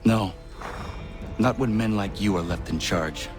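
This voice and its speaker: An actor playing an earnest, defiant young warrior in a video game, speaking bluntly with formal, measured words. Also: male voice, on the low side, darkish tone, soft background music, natural voice. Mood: defiant, angry. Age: young adult. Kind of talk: dramatic video game acting.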